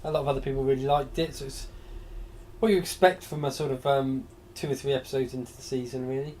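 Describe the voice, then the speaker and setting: A man co-hosting a casual podcast chat, his voice a bit throaty and gravelly today, relaxed with hesitant ums. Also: medium pitch, fast talker, a little hiss or hum. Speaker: podcast co-host.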